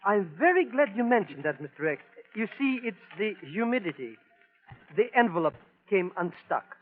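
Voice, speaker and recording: A man's voice: sits high, big pitch swings, moderate pace. A man playing a nervy, money-grubbing comic sidekick in a vintage radio drama, fussy and wheedling. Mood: sly. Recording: muffled, band-limited old radio recording.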